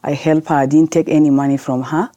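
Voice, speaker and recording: Podcast guest, woman from South Sudan, low dark voice, dry close sound, earnest and halting. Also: level tone, dry recording, lo-fi sound. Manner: earnest, halting non-native English with restarts. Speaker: guest, lawyer. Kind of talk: podcast interview answers.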